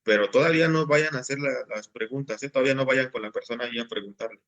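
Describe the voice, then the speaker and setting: A man's voice: medium pitch, fast talker, a bit bright. A man, patiently explaining assignments to his class over a video call. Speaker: teacher. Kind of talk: online class instruction.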